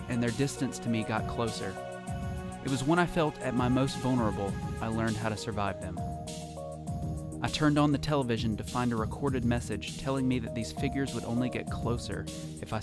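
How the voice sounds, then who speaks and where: A man's voice: medium pitch, natural voice. A man playing a lonely, haunted survivor, narrating his story in a somber, reflective way for an audio drama. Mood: somber, uneasy. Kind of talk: scripted first-person audio drama narration. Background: music.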